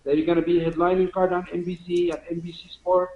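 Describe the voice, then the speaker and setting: A man's voice: high-pitched, flat delivery, brisk. Echoey phone-line man. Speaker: fight promotion executive. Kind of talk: media conference call.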